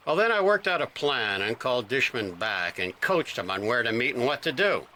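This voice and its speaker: An actor playing a cagey, gruff police detective in a radio drama, folksy and blustering. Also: male voice, mid-range voice, sing-song, moderate pace, sharp tone, slight background noise. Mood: confessing.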